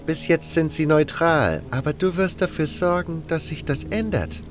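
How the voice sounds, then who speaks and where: An actor playing a cold, condescending baron who gives orders in a fantasy audio drama, with music underneath. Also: male voice, a little high, moderate pace, lightly acted. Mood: cool, calculating. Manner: condescending, scornful. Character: cold, haughty male baron giving orders.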